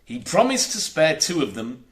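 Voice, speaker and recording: A man reading a children's storybook aloud as an audiobook narrator, in a theatrical, expressive way. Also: a little high, moderate pace. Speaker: audiobook reader.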